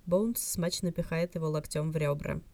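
A woman's voice: sits low, fairly even intonation, moderate pace, dark tone, no room sound. A woman reading a fan fiction audiobook as scripted narration.

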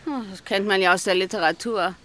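An actress playing a fussy, brisk but polite female book editor in radio drama dialogue. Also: medium pitch, a bit bright, close to an everyday voice.

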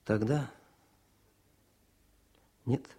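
An actor playing a modest, plucky wounded war correspondent, telling his story in halting colloquial bursts with a husky voice in a 1970s Soviet radio play. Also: dark tone. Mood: calm.

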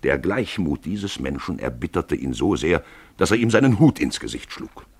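A man narrating a radio drama from a literary script in an expressive, theatrical storytelling manner. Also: extremely low pitch, normal speed, vocal fry, slightly rough, no echo.

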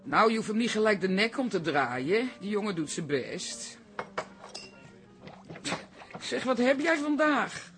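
A woman's voice: medium pitch, very animated, a little slow, pauses often. An actress playing a worldly, nosy older woman in a radio comedy, with dry, teasing delivery.

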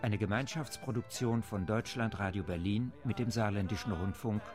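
A man calmly reading the closing credits of a radio play. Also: fairly low pitch, moderate pace. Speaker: credits announcer. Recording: music behind.

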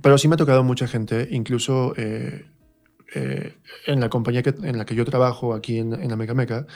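A man with a Mexican accent and a mid-range voice speaks as an interview guest. His delivery is colloquial and reflective, like someone thinking through ideas in a relaxed chat. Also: mid-range voice, a little fast, soft background music. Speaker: interview guest, stage actor. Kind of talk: casual interview conversation.

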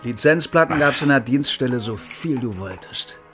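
A man's voice: medium pitch, expressive, fairly bright tone, faint music behind. An actor playing a bitter, tipsy East German ex-secret-police man in a radio drama, self-pitying and threatening in broken phrases. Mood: maudlin, tearful. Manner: slow, broken phrases swinging between whine and threat. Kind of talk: radio crime drama dialogue.

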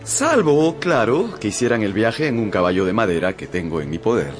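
A man's voice: fairly low pitch, sing-song, moderate pace, over music. An actor playing a sly, teasing nobleman in a radio drama.

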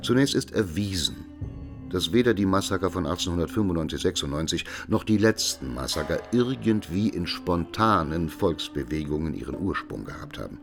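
An actor playing a learned expert witness in a radio drama, giving a formal, deliberate, lecturing courtroom statement. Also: male voice, sits low, expressive, creaky, over music. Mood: sober, lecturing.

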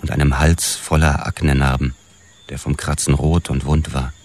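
A world-weary detective with an extremely low voice tells his story in a radio drama. He is measured and deadpan, in hardboiled style, over faint background noise. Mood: coolly appraising.

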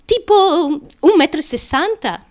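Fragile young striver, very high voice, childlike and insistent, theatrical radio monologue. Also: female voice, lively intonation, a little mellow. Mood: proud, declamatory. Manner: childlike, insistent, halting, repetitive, with nervous giggles. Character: small, driven, fragile young woman confessing, fiercely chasing fame.

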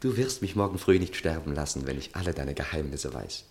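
A deep-voiced, lovestruck young suitor. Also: male voice, a little fast, a little mellow. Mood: tender, urgent. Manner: theatrical, ardent declarations with hesitant stammers. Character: infatuated, tipsy young male journalist and suitor. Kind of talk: 1960s German radio play acting.

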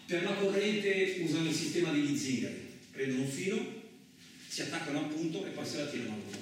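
An actor playing a coarse, suspicious, lonely truck driver in a radio theatre monologue, rambling colloquially with frequent questioning interjections. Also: male voice, mid-range voice, a bit bright. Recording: very echoey, distant, noisy room recording.

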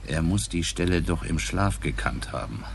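Low-voiced, gruff police inspector. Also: male voice, animated, normal speed, a little hiss or hum. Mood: brooding. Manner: curt, gruff. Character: gruff, probing police inspector in provincial town. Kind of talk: radio crime drama acting.

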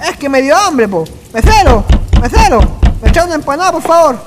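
A man's voice: very high-pitched, very animated, brisk, noisy background. An actor playing a folksy rustic man with a rural Chilean accent, telling anecdotes in a radio drama. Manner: folksy, colloquial. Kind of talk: radio drama acting, colloquial storytelling. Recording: long reverb, faint music behind.

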